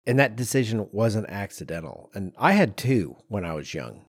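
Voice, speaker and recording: A middle-aged man with a fairly low voice talks as a podcast co-host. He explains what he has researched in an informal, conversational way, with personal asides. The sound is close and dry, with no room on it. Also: sing-song, moderate pace, husky, no echo.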